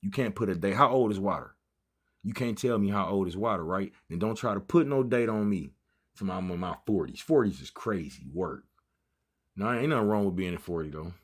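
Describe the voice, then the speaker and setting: A man's voice: low-pitched, expressive, fairly quick. A man in his thirties hosting a trading livestream, talking casually in loose slang and drifting off topic as he chats with viewers. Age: 30s. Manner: loose slangy banter, easily sidetracked. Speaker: trading stream host.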